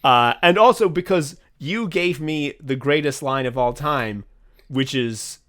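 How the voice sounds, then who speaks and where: A man's voice: a little high, measured pace. Rambling, profane man in casual chat. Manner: rambling, profane, excitable, acts out his own thought process. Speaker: podcast co-host, writer. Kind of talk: casual behind-the-scenes chat.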